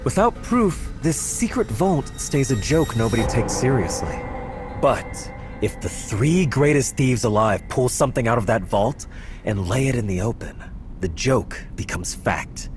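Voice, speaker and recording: A man acts out a bitter schemer from a video game drama. His mid-pitched voice swings widely as he delivers measured, rhetorical lines over clear background music. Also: lively intonation, lightly acted. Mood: intent, persuasive. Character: scheming, bitter man organising a palace heist. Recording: music behind the voice.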